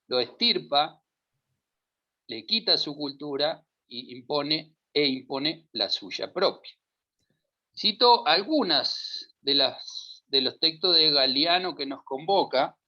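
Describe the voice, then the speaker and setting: A man's voice: a little high, pushed and bright. A middle-aged man from Uruguay with a Uruguayan Spanish accent, speaking emphatically on a discussion panel and piling up lists of verbs. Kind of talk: discussion talk. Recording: dull lo-fi audio.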